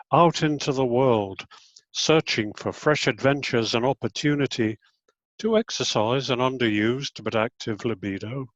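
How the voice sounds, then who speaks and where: A middle-aged man gives a slow poetry reading, pausing between short phrases. His delivery is measured and deliberate. The sound is close and dry, with a dull, muffled edge. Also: mid-range voice, no room sound. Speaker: poet.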